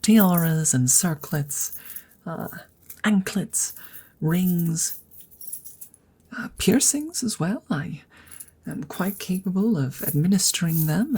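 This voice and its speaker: A woman voicing an awkward, courteous female dragon in an ASMR roleplay, speaking softly and haltingly, often dropping into a breathy whisper. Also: deep voice, heavily acted. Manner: hesitant, halting, formal and polite.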